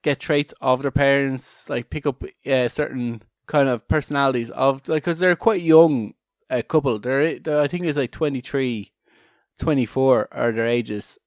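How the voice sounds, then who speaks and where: A man's voice: medium pitch, moderate pace, dry recording, clean studio sound. A man co-hosting a casual comedic podcast, talking in a rambling, irreverent way with many restarted sentences. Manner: joking, irreverent. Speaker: podcast co-host.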